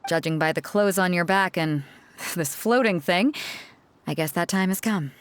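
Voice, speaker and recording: A woman's voice: on the low side, normal speed, close to an everyday voice. A woman voicing a confident adult ship captain in a video game, talking in a bold, wry and teasing way.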